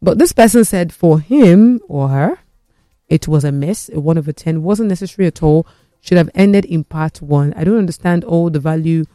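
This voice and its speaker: A man hosts a casual studio talk show, reading out viewer messages and firing teasing questions. His voice sits somewhat high, and the room sound is dry and close. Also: normal speed, dry recording. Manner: lively, teasing, animated questioning.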